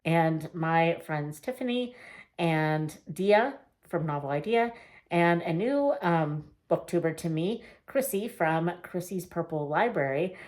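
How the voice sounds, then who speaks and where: A woman vlogging a casual reading wrap-up, chatty and rambling with fillers and small laughs, her voice getting a little raspy. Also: low voice, darkish tone. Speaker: book vlogger.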